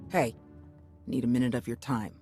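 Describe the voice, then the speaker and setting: An actress playing a tough, plain-spoken warrior comrade in a video game, casual and frank. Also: female voice, rumbling low, steady tone, normal speed, a little mellow, faint music behind. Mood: frank, sincere. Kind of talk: scripted video game acting.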